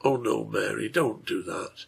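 A man's voice: lowish voice, a little slow, vocal fry, slightly rough, heavily acted. A man voicing a remorseful drunkard father in a story, tender and anguished, pleading with a breaking voice. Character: wretched guilt-ridden drunkard father, tender, despairing.

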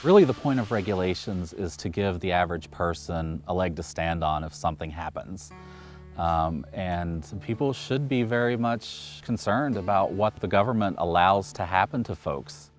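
A man's voice: low-pitched, expressive, moderate pace, soft background music. A man in his thirties from West Virginia, a local resident speaking in a documentary interview outdoors. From West Virginia, United States. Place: outdoors in woods.

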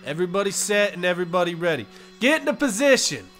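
A man's voice: high voice, sing-song, fast, a bit bright, faint music behind. Man acting a mocking, swaggering gang boss. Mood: urgent, commanding. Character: taunting, crude male post-apocalyptic gang boss.

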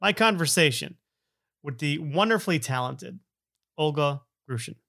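A man's voice: fairly high pitch, expressive, moderate pace, gravelly, bright, forceful tone. A middle-aged man hosting a podcast, talking informally and emphatically, often repeating phrases for effect. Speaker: podcast host. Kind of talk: conversational podcast intro and interview.

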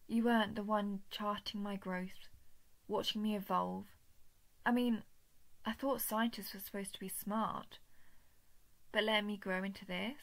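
A woman voicing a smug, menacing alien parasite in a scripted audio drama, patient and taunting, often dropping toward a whisper. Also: medium pitch. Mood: smug, mocking. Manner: breathy whispering, slow taunting delivery. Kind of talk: scripted roleplay audio drama, intimate monologue.

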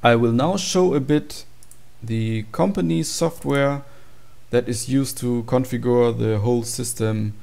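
A man's voice: medium pitch, a little slow, vocal fry, a little mellow. A man giving an informal, matter-of-fact tutorial walkthrough of program code. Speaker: tutorial presenter.

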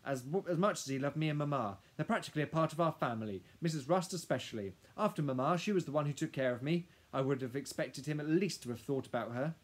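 Storybook child heroine acted by a man: young girl, earnest, devoted, slightly higher voice. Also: expressive, fast talker, few pauses. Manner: earnest, questioning.

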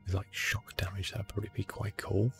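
A man giving soft-spoken, relaxed ASMR commentary over a video game, murmuring and often dropping into whispers. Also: on the low side, fairly quick, faint music behind. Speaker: gaming video host.

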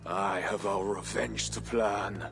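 A man playing a wrathful, proud Viking warlord, gravelly and menacing, accusing and bent on revenge. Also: fairly low pitch, steady tone, takes it slowly, fairly bright tone, faint music behind, full character voice. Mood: vengeful. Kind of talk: dramatic game acting.

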